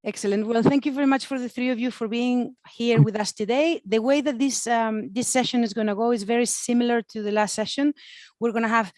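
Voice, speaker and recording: A woman's voice: fairly high pitch, fairly even intonation, fairly quick, darkish tone, dry recording. A woman moderating an online event in an informal, chatty, encouraging way. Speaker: webinar moderator. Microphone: computer mic on video call.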